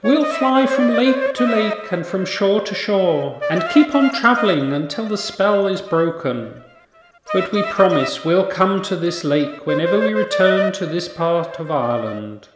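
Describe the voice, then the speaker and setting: A woman's voice: medium pitch, expressive, unhurried, lightly acted. A woman voicing a sorrowful, devoted young swan princess who sings her lines in a children's story. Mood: wistful, promising. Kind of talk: sung storybook dialogue over music. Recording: echoey reverb under loud music.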